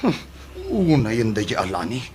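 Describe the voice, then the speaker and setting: A woman with an Ilocano accent acting a touchy, indignant sore loser in a radio comedy drama, gravelly and sputtering. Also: a bass voice, very animated, normal speed, noisy background. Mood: puzzled, gossipy. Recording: music behind the voice.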